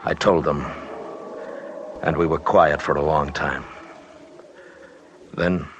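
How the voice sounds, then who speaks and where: An actor playing a stubborn, haunted mountaineer in an old radio drama, speaking gravely and deliberately in clipped phrases. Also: male voice, a bass voice, steady tone. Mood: grim, suspenseful. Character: stubborn, haunted mountaineer narrating his expedition, tough man. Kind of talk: 1940s-50s radio drama narration. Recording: noisy old radio recording.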